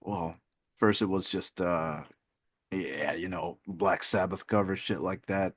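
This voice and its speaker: A man in his twenties, a guest musician on a video call, talking in a relaxed, rambling way with many fillers and easy laughs. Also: sits low, slow, slightly rough. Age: 20s. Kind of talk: casual interview chat.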